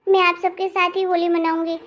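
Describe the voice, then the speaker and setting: A woman as a high, pleading young daughter-in-law. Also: flat delivery, fairly quick. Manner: pleading, deferential. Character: gentle, self-sacrificing young daughter-in-law. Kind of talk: animated family drama dialogue.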